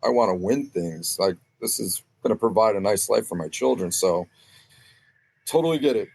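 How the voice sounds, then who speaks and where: A man with a low-pitched voice chats as a guest on a sports podcast. He speaks casually, as a competitor talking about his game. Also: animated, moderate pace, vocal fry, a little mellow, husky. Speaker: podcast guest, cornhole player.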